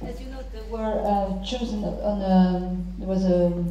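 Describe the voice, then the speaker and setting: A middle-aged woman moderating a panel, introducing guests and posing questions formally in halting, deliberate phrases. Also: medium pitch, mellow, a little hiss or hum. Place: large theatre stage. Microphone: handheld microphone through hall speakers. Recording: very echoey theatre PA, distant, muffled.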